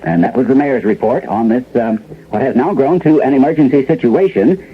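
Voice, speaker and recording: An actor playing a flustered newsroom anchor in a radio drama, halting and groping for words on a tinny old broadcast. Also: male voice, mid-range voice, animated, noisy background, close to an everyday voice. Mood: flustered, grave. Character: flustered male newsroom anchor handling an emergency. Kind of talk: mock live newscast, 1970s radio drama.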